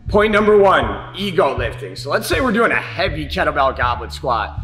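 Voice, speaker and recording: Higher-voiced man coaching in an echoey gym. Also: very animated, moderate pace, crowd noise. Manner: emphatic, informal coaching. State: slight chest cold. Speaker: fitness coach and vlogger. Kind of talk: instructional talk to camera. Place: roomy gym, indoors.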